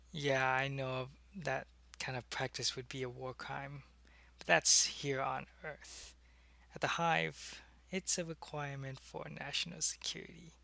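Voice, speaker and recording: A man playing a secretive, soldierly alien changeling in an ASMR roleplay, speaking to the listener in a halting, conversational way. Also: medium pitch, creaky, sharp tone. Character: alien changeling spy posing as human, loyal, secretive, soldierly.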